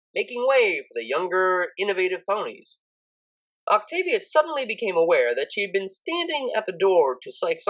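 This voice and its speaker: A woman reading a scripted story aloud as an expressive audiobook narrator. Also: lowish voice, rough recording.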